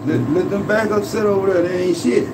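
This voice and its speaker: A man with a somewhat high voice sounds a bit away from the mic, with clear noise around him. He debates sports casually and insistently, in profane slang with repeated words. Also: steady tone, fairly quick. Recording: distant voice amid noisy ambience.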